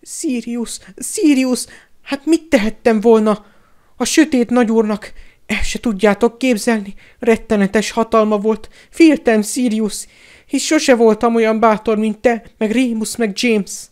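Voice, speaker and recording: A man's voice: very high-pitched, fairly even intonation, darkish tone, clean tone, pitched above the performer's own voice. A man voicing a cowardly, snivelling middle-aged traitor in an audiobook, whining and pleading in a wheedling, grovelling way. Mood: snivelling excuses. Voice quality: whining, whimpering. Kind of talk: audiobook character acting.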